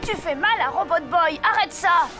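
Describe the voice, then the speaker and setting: A young boy: high, lively, a bit bossy, with music behind. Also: moderate pace. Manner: lively, scolding at times. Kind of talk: French cartoon dub.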